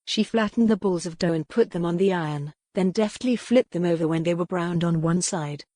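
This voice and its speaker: A woman's voice: on the low side, fairly quick, dark tone, dry recording, studio quality. A woman reading a romance novel aloud as scripted audiobook narration. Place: dry recording space.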